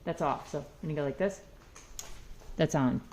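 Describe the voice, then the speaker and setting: A woman's voice: sits low, moderate pace, darkish tone. A woman talking informally and encouragingly at home, explaining things step by step in casual chat. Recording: noisy amateur home recording with clicks.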